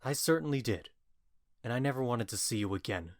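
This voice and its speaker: A man's voice: medium pitch, expressive, fairly quick, no room sound, clean studio sound, lightly acted. A man playing a bewitched, cruel and impetuous young lover, scornful and vehement, in a modernized Shakespeare audio drama. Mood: cold, scornful.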